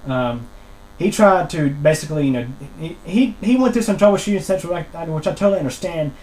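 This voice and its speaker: A man explaining a technical complaint as a customer on a phone call, wordy and halting, often repeating himself. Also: a little high, expressive, a little mellow. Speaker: customer calling internet support, channel host.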